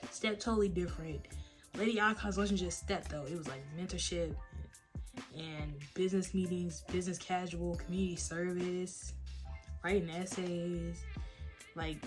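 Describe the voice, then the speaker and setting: A young adult woman, chatting casually and telling stories in a late-night Q&A at home. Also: lowish voice. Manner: chatty, animated storytelling with lots of "like" and "y'all". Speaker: vlogger. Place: at home, face mask on.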